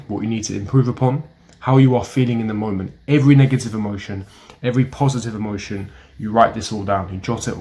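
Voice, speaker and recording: A man's voice: lowish voice, a little mellow. A young man giving blunt, emphatic self-help advice straight to camera, repeating his key points. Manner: blunt, emphatic and repetitive, lecturing the viewer. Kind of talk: direct-to-camera advice talk.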